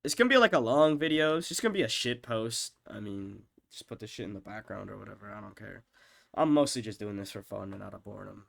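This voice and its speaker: A young man with a stuffed-up, nasal voice from being sick, rambling casually with profanity and lots of fillers, often trailing off quietly while he ranks his own tracks. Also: on the low side, lively intonation, fast, creaky. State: congested, sick with stuffed-up nose. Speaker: music producer ranking his own songs. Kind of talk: rambling casual screen-share commentary.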